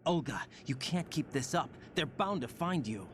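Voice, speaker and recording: An actor playing an earnest, bewildered young agent in a video game, questioning and intense. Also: male voice, fairly high pitch, expressive, normal speed, a bit bright, a little hiss or hum. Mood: anxious, questioning. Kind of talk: dramatic video game voice acting.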